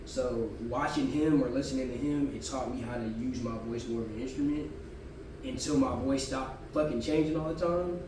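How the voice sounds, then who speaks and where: A raspy-voiced man in his thirties, a podcast guest, telling his story in a laid-back, casual way. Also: mid-range voice, moderate pace. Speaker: guest musician. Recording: echoey room, distant mic, background noise.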